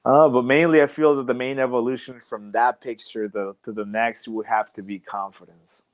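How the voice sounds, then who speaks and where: A man's voice: mid-range voice, little pitch movement, normal speed. A young man, a professional wrestler guest on a podcast, talking casually and reflectively with many "like" and "you know" fillers. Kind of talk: casual interview chat.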